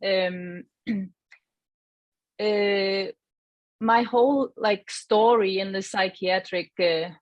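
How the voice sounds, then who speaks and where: A woman from Iceland, giving a gentle, reflective personal testimony as a guest and often searching for her words. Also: mid-range voice, extremely slow, long pauses, no echo, muffled recording.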